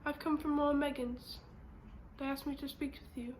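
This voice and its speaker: A woman's voice: high voice, flat delivery, normal speed, background noise, natural voice. A young woman in an amateur stage play acting a formal, apologetic company messenger with a stiff manner. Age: young adult. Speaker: student actor.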